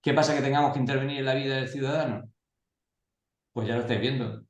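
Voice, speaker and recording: A man lecturing a class of local-government staff, asking and answering his own questions in a conversational way. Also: mid-range voice. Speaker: course instructor. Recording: echoey classroom.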